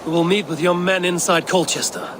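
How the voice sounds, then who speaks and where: An actor playing a commanding, stern Viking raider in a video game, with a measured, authoritative manner. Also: male voice, sits high, fairly even intonation, noisy background, natural voice. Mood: resolute.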